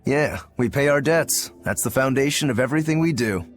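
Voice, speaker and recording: A man plays a laid-back swordsman war demon who enjoys his drink. His voice sits in an ordinary middle register, and he teases as he talks. Soft game music runs beneath him. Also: mid-range voice, animated, fairly quick, natural voice. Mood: curious. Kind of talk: video game cutscene acting.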